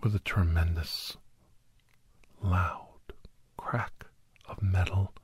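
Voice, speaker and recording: A man narrating a suspenseful first-person ASMR story in a hushed, half-whispered voice with dramatic pauses. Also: deep voice, fairly even intonation, husky. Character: doctor recounting a monster-hunting adventure, grave and awed.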